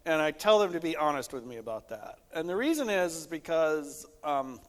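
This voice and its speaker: A man's voice: a little high, big pitch swings, normal speed. A man who is a pastor, talking casually about faith in a conversational, storytelling way with frequent asides. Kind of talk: casual theological discussion. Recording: echoey hall with long reverb.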